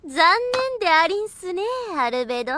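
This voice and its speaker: Very high-pitched haughty undead girl. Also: animated, fairly quick, noisy background. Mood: smug. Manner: quaint affected courtly speech, dramatic. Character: theatrical scheming female undead girl, haughty and excitable. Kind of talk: Japanese anime audio drama acting.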